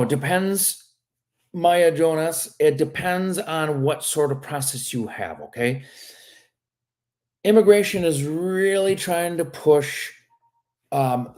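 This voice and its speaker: A man's voice: fairly high pitch, a little mellow. A man working as an immigration lawyer, answering viewer questions on a live stream in a casual, frank way and often tagging on "okay?". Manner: reads viewer questions aloud and answers them.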